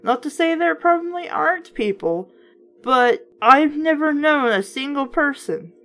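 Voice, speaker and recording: A woman's voice: high voice, expressive, measured pace, a bit bright, soft background music. A woman reading a children's book aloud in a casual way, breaking off for chatty, wry, skeptical commentary.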